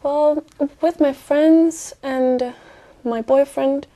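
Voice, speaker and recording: Mellow-voiced young woman. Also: high voice, steady tone, very slow. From Brazil. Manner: hesitant, with many fillers and soft laughs. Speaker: exam candidate.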